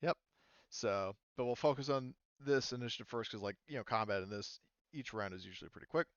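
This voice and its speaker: A man running a tabletop roleplaying game as game master, talking casually and haltingly as he thinks aloud. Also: mid-range voice, clean tone, dry recording, dull audio. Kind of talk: running a tabletop roleplaying game, improvised narration and rules talk.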